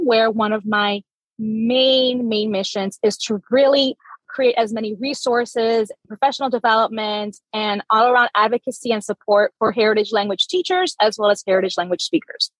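A woman's voice: fairly high pitch, normal speed, no room sound, rough recording. A woman from Miami speaking on a panel as an educator and researcher, earnest and personal. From Miami, Florida. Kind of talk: conversational panel answer.

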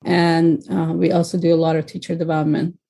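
Gravelly, husky woman panelist. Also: low voice, little pitch movement, a little fast, dark tone. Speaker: panelist, language school vice principal. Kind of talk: prepared panel answer.

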